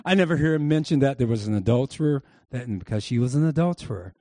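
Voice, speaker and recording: A man's voice: medium pitch, expressive, fast talker, warm tone, no room sound. A male pastor delivering a sermon to a church congregation, preaching emphatically and calling on them to answer back. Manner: emphatic preaching with audience call-and-response. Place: church service before a congregation.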